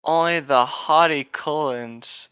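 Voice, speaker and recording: A man's voice: on the high side, slow, bright, forceful tone, clear, resonant tone, no echo. A young man joking around and reading aloud in a casual, playful way over a slightly dull, lo-fi mic. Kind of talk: casual chat and read-aloud.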